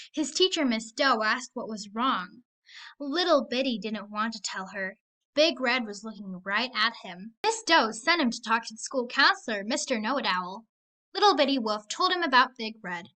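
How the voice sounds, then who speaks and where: A woman narrating a children's story aloud in an expressive read-aloud style. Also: on the high side. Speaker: storybook reader.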